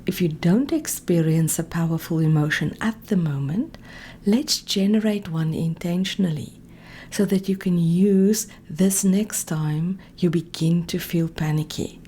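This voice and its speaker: A woman speaking as a meditation coach, giving calm, gently directive guided instruction in a soft, breathy voice that often nears a whisper. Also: lowish voice, measured pace, a little hiss or hum.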